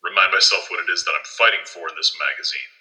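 An actor playing a crotchety conservative magazine boss, a gruff, pompous man given to ranting in an audio play. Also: sits low, steady tone, fast talker, bright, forceful tone, rough recording. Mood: gruff, indignant.

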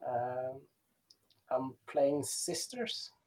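Slow-talking man from Sweden. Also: medium pitch, animated, a little mellow. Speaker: podcast guest, tournament player. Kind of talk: casual chat.

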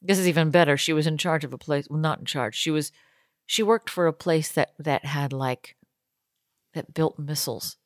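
A woman's voice: deep voice, moderate pace, no room sound, clean studio sound. A young woman co-hosting a podcast from a basement lounge, chatty and joking, laughing often. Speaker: podcast co-host. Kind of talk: casual chat. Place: home basement tiki lounge.

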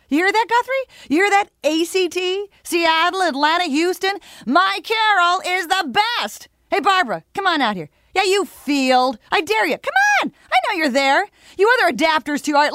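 A woman's voice: sits high, a bit bright, no echo, studio quality. A woman performing a brash, boastful, combative theatre adapter in a comic monologue, cocky and punchy.

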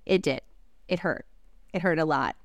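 A woman, a native Korean speaker, talking reflectively as an actress in an interview, halting with fillers and soft laughs. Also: deep voice, no room sound.

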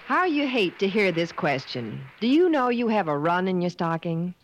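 A woman's voice: lowish voice, very animated, normal speed, a faint crowd, slight background noise. A woman announcer reading a 1950s radio advertisement in a polished, instructive way. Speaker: commercial announcer. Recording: dry, muffled old radio recording.

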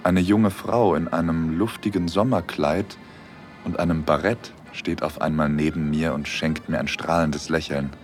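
An actor playing a dreamy, bashful young man, narrating an intimate audio drama in the first person in a soft-spoken way. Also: sits low. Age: young adult.

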